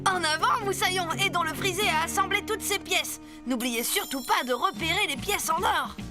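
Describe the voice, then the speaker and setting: A woman's voice: very high-pitched, normal speed, music in the background. A woman voicing a brave, eager young boy pirate leader in a French cartoon. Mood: eager, rallying. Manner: eager, declaiming.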